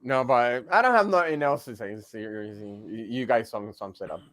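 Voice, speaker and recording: A man's voice: medium pitch, moderate pace, fairly bright tone, dry recording, clean studio sound. A man chatting casually as a panelist on a talk show. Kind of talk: casual chat.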